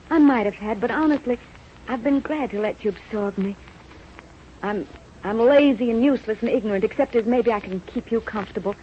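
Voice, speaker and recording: An actress playing a devoted, stubborn young wife, impassioned and insistent, in an old radio drama. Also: female voice, medium pitch, lightly acted. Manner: impassioned, insistent, stammering with feeling. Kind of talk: 1930s radio drama acting. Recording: muffled, noisy old radio recording.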